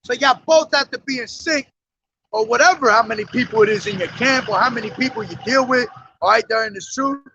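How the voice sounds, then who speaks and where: A very high, bright-toned man preaching outdoors, his voice worn hoarse. He reads scripture in a slow, measured way and then presses each point with "man" and "all right?". The recording is dull and lo-fi. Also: a little hiss or hum. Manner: emphatic, calls listeners "man", reads scripture slowly. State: hoarse from days of yelling. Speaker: street preacher. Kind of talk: street sermon with scripture reading. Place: outdoors on a street.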